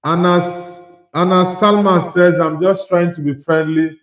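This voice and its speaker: A man's voice: high-pitched, steady tone, unhurried, roomy sound. A young man from Nigeria hosting a casual football livestream, reading viewer comments aloud and answering with his own opinions. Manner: conversational, reads out chat messages and replies with opinions.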